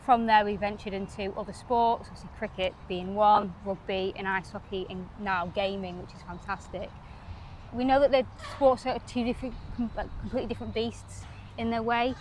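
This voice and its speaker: A woman's voice: medium pitch, flat delivery, brisk, slight background noise, rough recording. Chatty woman with a Northwest English accent. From Northwest England. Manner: loose, chatty phrasing with frequent hedging fillers. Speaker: interview guest. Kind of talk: casual pitchside interview. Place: outdoors at a cricket ground.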